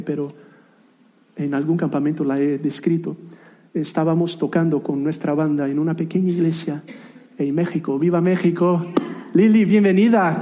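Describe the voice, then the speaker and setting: A man's voice: a little high, warm tone. A man in his thirties, a native Portuguese speaker, preaching a sermon in a conversational way with light self-deprecating asides and frequent restarts. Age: 30s.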